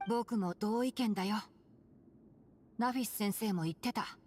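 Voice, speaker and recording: A woman's voice: mid-range voice, fairly quick, darkish tone, lightly acted. A woman voicing a thoughtful, earnest young boy in a Japanese anime game, with long reverb and music.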